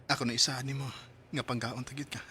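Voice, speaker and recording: An actor playing an eager, bashful young suitor in a radio drama, wooing hesitantly with a Cebuano Filipino accent. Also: male voice, medium pitch, flat delivery, quick pace, pushed and bright, a little hiss or hum.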